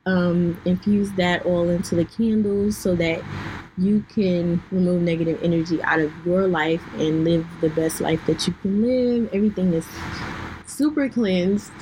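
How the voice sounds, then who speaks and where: A young woman hosting her own podcast, losing her voice and clearing her throat, talking candidly to listeners with many fillers. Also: on the low side, darkish tone, rough recording. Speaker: podcast host. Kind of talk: personal talk to listeners. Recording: faint background noise.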